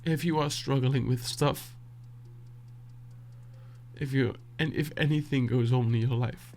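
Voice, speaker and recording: Dutch man, non-native English, soft halting reflective talk, gravelly fry, mid pitch. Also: fairly even intonation, slight background noise. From Netherlands. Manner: soft, halting, searching for words, sighing. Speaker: beatboxer reacting to music.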